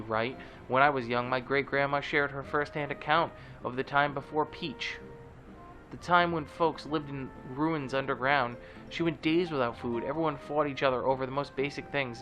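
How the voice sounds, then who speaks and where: A man's voice: medium pitch, natural voice. A man with a stuffy, nasal voice reading out a preachy church official who warns of dangerous old technology.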